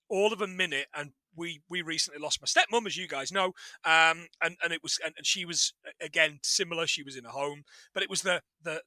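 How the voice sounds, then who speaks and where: A man's voice: fairly high pitch, sharp tone, no room sound. A man guest-hosting a podcast, chatting casually and self-deprecatingly, often restarting his sentences. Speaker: guest host.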